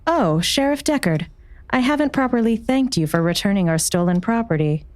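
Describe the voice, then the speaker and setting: A woman's voice: a little high, lively intonation, moderate pace, no room sound, close to an everyday voice. An actress playing a cold, imperious woman doctor who leads a secretive order, speaking with formal, controlled menace in an audiobook drama. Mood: coolly polite. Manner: formal, controlled, veiled threat.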